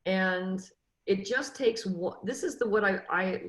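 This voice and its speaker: A woman's voice: medium pitch, unhurried, fairly bright tone, roomy sound. A woman in her fifties from Northern California, a football coach speaking on a video call panel in an earnest, motivational way, often restarting her sentences. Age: 50s. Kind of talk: casual panel discussion.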